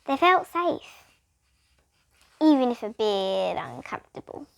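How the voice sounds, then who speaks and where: Female children's book reader, high voice, highly varied intonation, expressive bedtime-story delivery. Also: slow, vocal fry, no room sound. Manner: animated, dramatic reading. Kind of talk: expressive storybook read-aloud.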